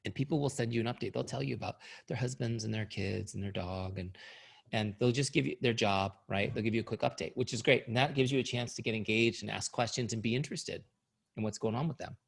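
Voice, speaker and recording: A man's voice: lowish voice, fast, vocal fry, slightly rough. A middle-aged man giving a sales training talk, upbeat and coaching, who is starting to lose his voice. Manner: upbeat, coaching, asks "right?" often. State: losing his voice. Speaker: company founder and trainer.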